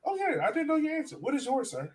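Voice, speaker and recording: A man hosting a sports podcast in a casual, animated, slangy and joking way. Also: very high-pitched, fast talker. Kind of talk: casual chat.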